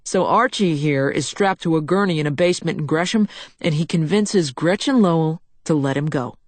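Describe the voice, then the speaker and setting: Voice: medium pitch, moderate pace, no room sound, natural voice. A reader voicing a boisterous 65-year-old male crime boss who talks crudely and with swagger. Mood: gloating, boisterous. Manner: crude, swaggering, loud storytelling.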